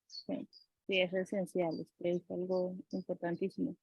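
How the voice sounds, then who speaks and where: A woman interviewer asking questions conversationally, with hesitant, halting phrasing. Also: on the low side, monotone, creaky, slightly rough, highs cut off.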